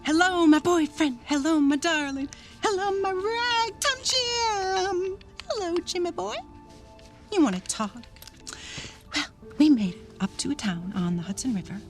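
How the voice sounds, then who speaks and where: An actress playing a playful, restless 1930s wife chattering to herself in a period audio drama, singsong and coy, drifting into murmurs. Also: female voice, extremely high pitch, animated, measured pace. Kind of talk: period radio drama acting. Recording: loud music behind the voice.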